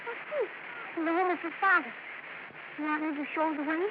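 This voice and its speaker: A performer playing an eager, polite young boy with a Mexican accent, halting over English words in old radio style. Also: very high voice. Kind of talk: 1950s radio acting. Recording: muffled, very noisy old radio.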